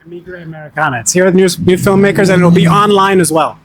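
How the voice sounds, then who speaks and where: Thoughtful male filmmaker, slightly high voice. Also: steady tone, quick pace. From Pakistan, raised in Southern and Eastern Africa. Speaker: actor and filmmaker guest. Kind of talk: interview answers. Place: outdoors at a film festival.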